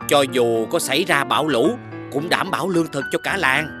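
Actor as an earnest village leader over music. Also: male voice, a little high, a little fast, lightly acted. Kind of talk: animated folktale dubbing. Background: prominent music.

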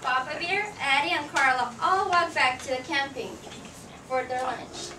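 A woman's voice: high-pitched, moderate pace. A woman narrating a scripted children's story for a puppet show. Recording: echoey, noisy room from far off.

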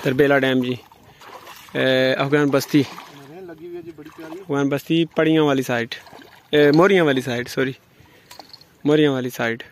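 A man giving casual commentary from the lake shore while fishing, calling out quick instructions to his friends. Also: mid-range voice. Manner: calls out quick instructions while landing fish. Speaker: angler. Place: outdoors, standing in a lake at the shore. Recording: outdoor lakeside recording with clear noise.

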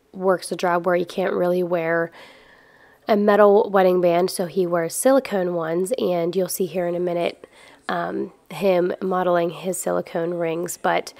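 A woman's voice: fairly low pitch, darkish tone, no echo. A woman vlogger giving a casual, chatty, conversational voice-over about everyday life at home. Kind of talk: casual scripted-feel vlog voice-over.

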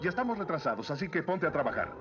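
Gruff-mannered mine overseer, latin american spanish cartoon dub, brusque, lively pitch. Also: male voice, rapid-fire, lo-fi sound, noisy background. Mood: stern. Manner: brusque, commanding. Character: stern male overseer of slave mines. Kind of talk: dubbed animated series acting.

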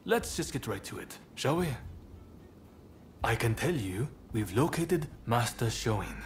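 A man playing a composed, courteous young samurai rebel leader in a video game, speaking in a polite, measured and earnest way. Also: medium pitch, sing-song, slight background noise. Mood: urgent, businesslike. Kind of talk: video game cutscene acting.

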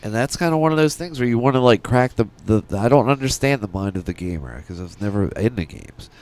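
A man in casual, playfully challenging podcast chat, questioning and animated, speaking close to a studio mic. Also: medium pitch, fast talker. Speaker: podcast co-host. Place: small podcast studio room. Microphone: close studio mic with headphones.

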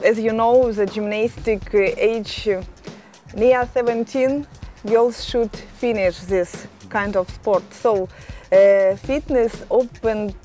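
A woman in her thirties from Estonia, speaking careful, halting as an interview guest outdoors on a terrace. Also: a little high, mellow. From Estonia, born in Ukraine. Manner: halting, careful non-native English with many pauses. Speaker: interview guest, fitness champion. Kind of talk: casual interview answers. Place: outdoor terrace, hillside view.

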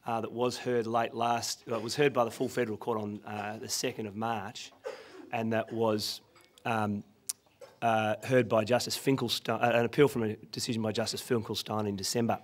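A man in his thirties with an Australian accent and a husky voice after losing it, presenting a legal seminar from a podium with many fillers. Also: on the low side, little pitch movement, moderate pace. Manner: self-deprecating anecdotal delivery with frequent fillers. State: husky, recovering from lost voice. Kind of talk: professional seminar talk. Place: conference room. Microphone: podium microphone.

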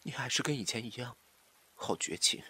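An actor playing an obsessive, scheming jilted lover in a Chinese audio drama, swinging from soft pleading to taunting menace. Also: male voice, mid-range voice, lively intonation, a little fast, a little hiss or hum. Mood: plaintive coaxing.

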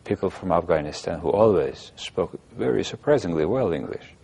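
A man's voice: sits low, animated, moderate pace, gravelly, warm tone, slightly rough. A man in his forties hosting a studio TV interview, asking short questions and follow-ups in English. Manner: brief prompts and follow-up questions. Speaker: TV talk show host. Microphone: lapel.

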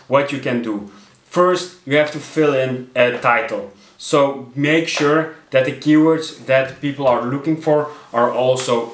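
A man's voice: medium pitch, a bit bright, reverberant. A young man from Belgium with a Belgian accent, hosting a talking-head tutorial from his desk and explaining with many fillers and restarts. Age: young adult. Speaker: channel host. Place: small home office room, indoors at a desk. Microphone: fixed camera at desk distance.

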